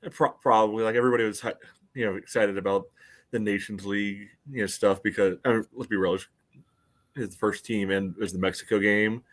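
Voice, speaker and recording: A man with a fairly low voice talks casually as a sports podcast co-host. His delivery is wry and dry, coming out in halting pieces. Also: steady tone.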